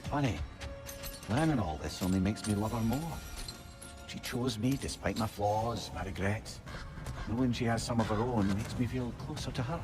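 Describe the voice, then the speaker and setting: An actor playing a witty, talkative older companion in a video game, with a Scottish accent and a wry, wordy manner. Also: male voice, on the low side, normal speed, over music, lightly acted. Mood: wistful, tender. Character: witty, talkative older male companion, warm-hearted advisor. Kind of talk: video game drama acting.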